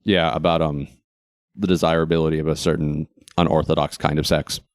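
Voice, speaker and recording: Low-pitched male, American accent, podcast co-host, wry joking manner, casual chat, dry close sound. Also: little pitch movement, brisk, no echo. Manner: jokey, wry, chatty. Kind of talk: casual chat about local theater.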